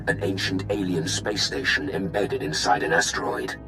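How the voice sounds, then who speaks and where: A proud, ruthless warlord from a space fantasy game. His voice is very low and his delivery is solemn and commanding. Music swells clearly behind him. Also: bright, forceful tone. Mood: grave authority. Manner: solemn, imperious, measured. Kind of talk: dramatic video game acting.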